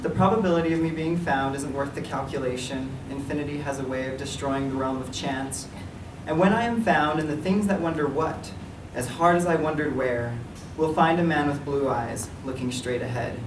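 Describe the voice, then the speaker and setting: A man reading his own writing aloud at a library event, in a measured, even delivery. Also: a little high. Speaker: writer reading his work. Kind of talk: literary reading. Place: library meeting room. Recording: echoey room, distant mic, some noise.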